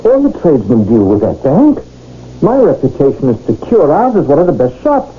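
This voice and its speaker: An actor playing an earnest, mild London shopkeeper husband in a radio drama, hesitant and pleading, with stammers. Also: male voice, on the high side, wildly swooping pitch, a little fast, slightly rough, dull audio, exaggerated voice. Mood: distressed, bewildered. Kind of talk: 1970s radio drama acting. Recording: thin, noisy old radio broadcast.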